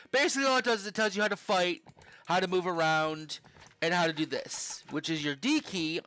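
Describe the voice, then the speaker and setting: A man's voice: fairly high pitch, pushed and bright. A young man giving casual, rambling commentary while playing a game, swearing offhandedly now and then. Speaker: gaming channel host.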